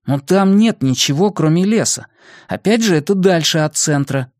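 A young boy who leads his friends in a storybook, voiced by a male narrator. He sounds decisive and encouraging, with a lively, varied line. The recording is close and dry. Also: on the high side, normal speed, dry recording, voice pushed up, lightly acted. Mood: casual.

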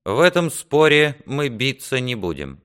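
A man's voice: medium pitch, big pitch swings, a little slow, a bit bright, clean tone, voice pushed up. A man voicing a resolute, defiant squire in a storybook, speaking in a firm, solemn, declamatory way. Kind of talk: audiobook character acting.

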